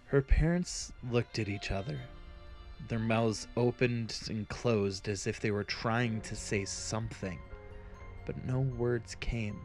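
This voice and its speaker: Male fantasy narrator: very slow, solemn, measured, music underneath. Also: lowish voice. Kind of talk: scripted dramatic fantasy narration.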